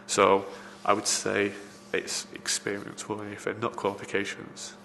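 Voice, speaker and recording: A man's voice: lowish voice, monotone, normal speed, gravelly, pushed and bright. A man, a film location assistant, giving rambling interview answers full of fillers and restarts. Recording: reverberant room, faint background noise.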